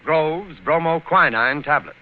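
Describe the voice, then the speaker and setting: A male announcer delivers a vintage 1940s radio advertisement. His slightly high voice is formal, measured and emphatic, coming through a very narrow, tinny recording. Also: lively intonation, faint music behind. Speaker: radio announcer reading a sponsor message.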